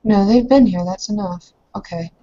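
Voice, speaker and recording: A woman's voice: medium pitch, moderate pace, mellow, highs cut off. A woman improvising in character in a roleplaying game, hesitant and questioning. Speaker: player in an online roleplaying session.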